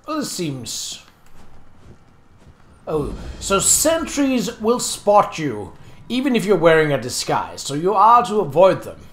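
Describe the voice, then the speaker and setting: A man's voice: high-pitched, wildly swooping pitch, slow pace, reverberant. A man casually commenting on his game as he plays, chatty and easily distracted, thinking aloud. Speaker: Let's Play host.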